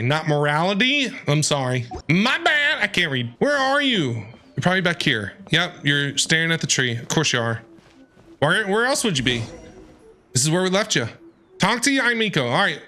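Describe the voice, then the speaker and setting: Bright, animated game streamer. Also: medium pitch, normal speed. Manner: animated, playful, chatty. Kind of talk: casual game playthrough commentary. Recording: game music behind.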